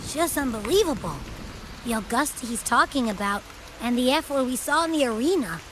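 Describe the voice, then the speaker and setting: A high, blunt young companion. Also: female voice, moderate pace, a little hiss or hum, poor audio. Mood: incredulous. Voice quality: casual, disbelieving tone. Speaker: game character. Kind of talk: video game voice acting. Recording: game audio with loud rain. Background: steady rain.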